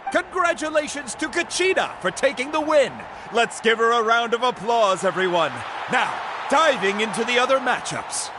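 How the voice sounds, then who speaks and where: A game tournament announcer, pitched extremely high for a male voice. He proclaims formally over clear background noise. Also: expressive, normal speed, few pauses. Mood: proclaiming.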